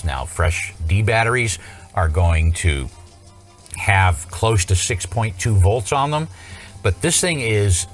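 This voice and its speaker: A man presenting an unscripted hands-on electronics tutorial in an informal, explanatory way with wry asides. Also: on the low side.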